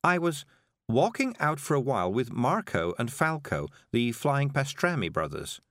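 A man voicing a cheerful, patient woman witch who gently explains things, in an audiobook. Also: medium pitch, sing-song, moderate pace, dry recording, clean studio sound, voice pushed up. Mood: reminiscing. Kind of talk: audiobook character voice.

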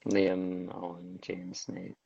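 A man's voice: sits low, monotone, takes it slowly, creaky, warm tone, slightly rough, no room sound. A man playing in a tabletop game, giving short, hesitant replies on a dull lo-fi mic. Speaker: player. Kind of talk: casual chat.

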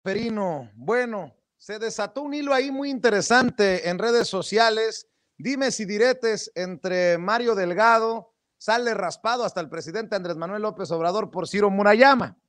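A man's voice: high voice, moderate pace, a bit bright, no echo, clean studio sound. A man with a Mexican accent hosting a political talk show, giving colloquial, emphatic news commentary straight to his listeners.

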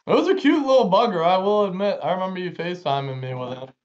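Young small-town guy, co-host, teasing and jumpy, slightly high voice, muffled lo-fi audio. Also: animated, quick pace. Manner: joking, interrupting, prompting questions. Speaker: podcast co-host. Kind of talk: casual banter.

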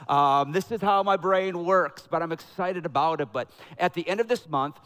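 A middle-aged man pastoring a church, preaching in a conversational, energetic style that builds to loud emphatic peaks, on a headset mic. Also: high-pitched, normal speed, rough recording. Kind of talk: sermon with announcements and prayer. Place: church sanctuary.

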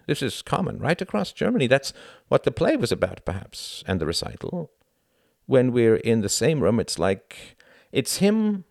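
A man's voice: mid-range voice, vocal fry, dry recording, studio quality, voice pushed up. A man voicing an earnest, brooding young German man with a wistful, heartfelt manner.

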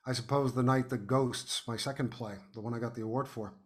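A middle-aged or older American man from New York City, chatting casually as an interview guest and telling anecdotes in an animated way. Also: medium pitch, brisk. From New York City, United States. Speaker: podcast guest, playwright.

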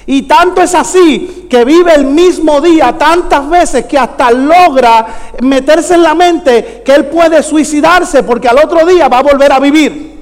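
A man's voice: very high voice, measured pace. A man preaching a sermon to a congregation in an exhorting way, repeating phrases and prompting listeners with rhetorical questions. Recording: echoey hall with long reverb.

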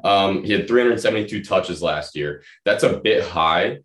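A young man with a slightly hoarse voice, co-hosting a podcast with casual fantasy football analysis. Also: sits low, moderate pace. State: slightly hoarse from feeling unwell. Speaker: podcast co-host. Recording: roomy echo, distant from mic.

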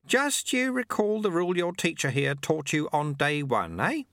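Brief: man playing a wily, genial old sweeper, high, bright and teasing. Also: lively intonation, normal speed, no room sound, studio quality. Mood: amused kindly. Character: little bald wrinkly old man, sly and kindly.

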